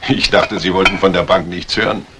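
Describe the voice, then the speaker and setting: A lowish male voice on a faintly noisy vintage radio recording. He is a shrewd, wary doctor in a fifties thriller, laconic and dry. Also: steady tone, brisk, fairly bright tone. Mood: dry, guarded. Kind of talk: 1950s German radio crime drama acting.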